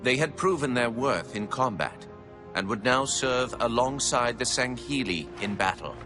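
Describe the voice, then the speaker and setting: An actor playing a proud, grave alien chronicler, narrating a game's war history in a stately, formal way. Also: male voice, medium pitch, animated, moderate pace, over music, natural voice. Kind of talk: scripted solemn narration for a game cutscene.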